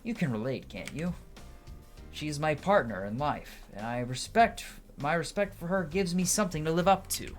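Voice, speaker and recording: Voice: medium pitch, animated, moderate pace, pitched above the performer's own voice, close to an everyday voice. A game player voicing a suave, courtly bartender with a theatrical, savoring delivery. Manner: theatrical, savoring, ends lines on tag questions. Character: suave, courtly bartender, devoted craftsman and romantic. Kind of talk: amateur game dialogue voice-over. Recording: music behind the voice.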